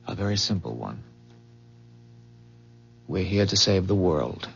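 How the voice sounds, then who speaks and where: An actor playing a calm, earnest man from a dying future, explaining gravely in measured 1970s radio drama style. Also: sits low, soft background music, full character voice. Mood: solemn, earnest. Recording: dull, muffled 1970s radio drama recording.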